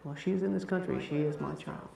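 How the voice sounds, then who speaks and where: A man, a doctor, talking casually and haltingly in an interview, with frequent pauses. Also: fairly high pitch, fairly even intonation, creaky, reverberant, recorded from a distance, noisy background. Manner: halting, with fillers and restarts.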